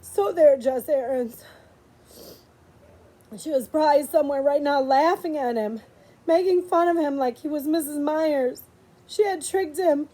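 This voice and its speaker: High-voiced woman reading a story with emotion. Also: a little slow, pauses often, warm tone. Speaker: audiobook reader, librarian. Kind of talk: expressive storybook narration.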